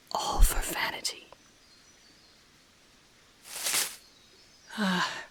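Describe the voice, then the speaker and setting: Woman as a slow, breathy ancient dragoness. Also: lowish voice, lightly acted. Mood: mocking, probing. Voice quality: breathy, often dropping to a whisper. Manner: slow, deliberate, condescending, teasing. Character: ancient haughty female dragon in human form, teasing, seductive. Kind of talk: intimate audio roleplay, scripted character acting.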